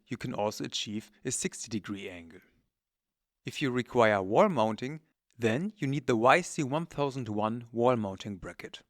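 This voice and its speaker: A man's voice: mid-range voice, a bit bright, no echo, studio quality. A man presenting technical product training in a methodical, matter-of-fact, instructional way. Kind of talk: instructional presentation, scripted narration.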